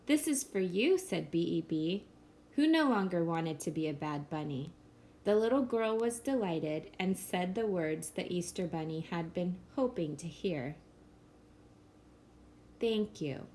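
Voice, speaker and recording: Woman narrator, children's picture book read-aloud, slow and gentle, faint room noise. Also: lowish voice, expressive. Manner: slow, gentle storybook reading. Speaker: storyteller.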